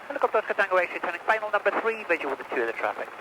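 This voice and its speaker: A man's voice: sits high, sing-song, very fast. A man making clipped helicopter position calls over an aircraft radio. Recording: noisy aircraft radio transmission.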